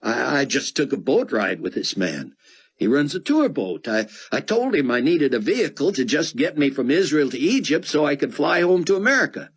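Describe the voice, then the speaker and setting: Nervous American feigning innocence, lifted husky voice, done by a male narrator. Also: fairly high pitch, very animated, moderate pace, pitched above the performer's own voice, heavily acted. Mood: playing dumb. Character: American male journalist posing as businessman, playing dumb.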